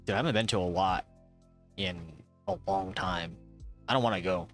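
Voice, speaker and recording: A man's voice: sits low, moderate pace. A young man of Thai heritage chatting casually on a live stream, reading chat aloud, swearing and laughing often. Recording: faint music behind.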